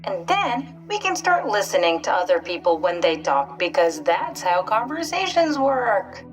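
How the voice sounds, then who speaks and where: A woman's voice: mid-range voice, big pitch swings, measured pace, faint music behind. An actress playing a wry, pragmatic woman scientist in a video game, with a matter-of-fact manner. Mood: dry, patient.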